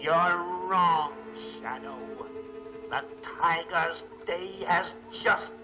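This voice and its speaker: An imperious crime mastermind from a 1940s movie serial. The man's high voice is slow, ominous and grandly formal. The old soundtrack is muffled, with music underneath. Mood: gloating menace. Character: menacing male masked crime lord, gloating and imperious.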